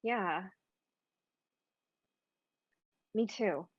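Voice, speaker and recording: An actress playing a proud, blunt Filipino American performer at an audition in a naturalistic play. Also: female voice, medium pitch, slow pace, breathy edge, no room sound. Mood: bitter. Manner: blunt, confrontational. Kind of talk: naturalistic stage play acting over video call. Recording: muffled, dry video-call audio.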